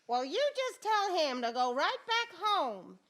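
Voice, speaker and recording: A woman's voice: very high voice, very animated, a little slow, fairly bright tone, heavily acted. A woman playing a nosy, disapproving middle-aged mother with an American accent and a meddling manner, in old-time radio style.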